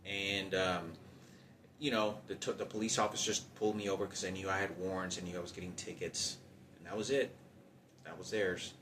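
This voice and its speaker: The low-voiced man who tells you his hard-luck story unprompted, stopping and repeating himself, with faint ambient noise around him. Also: little pitch movement, a bit bright. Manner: halting, repetitive, circling back over points. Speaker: storyteller recounting personal hardship. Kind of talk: unscripted personal monologue.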